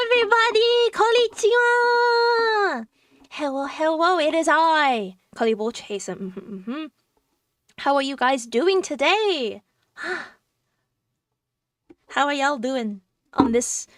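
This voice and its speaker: A woman's voice: high voice, a bit bright, no room sound, studio quality, distant mic. A woman streaming casually as a VTuber rep, bubbly and giggly, with lots of fillers and playful restarts. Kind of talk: casual live-stream chat. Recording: voice a little off-mic, faint music behind.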